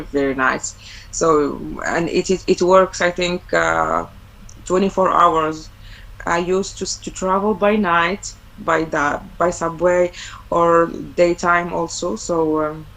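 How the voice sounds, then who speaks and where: A woman's voice: on the low side, rough recording. A young Algerian woman, a native Arabic speaker, speaking English as a webinar guest over a video call, hesitant, with many fillers and repeated words. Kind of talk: personal talk on studying abroad.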